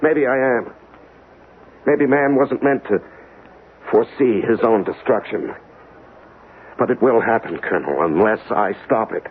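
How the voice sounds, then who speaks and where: An actor playing a desperate, idealistic investigator obsessed with coming doom, in impassioned theatrical radio drama style. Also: male voice, on the low side, measured pace, long pauses, lightly acted. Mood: fervent, defiant. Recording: muffled, hissy old radio recording.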